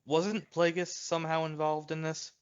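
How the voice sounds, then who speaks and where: A man's voice: fairly high pitch, flat delivery, moderate pace, smooth, lo-fi sound. A man co-hosting a podcast in loose, joking, casual fan chat. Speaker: podcast co-host.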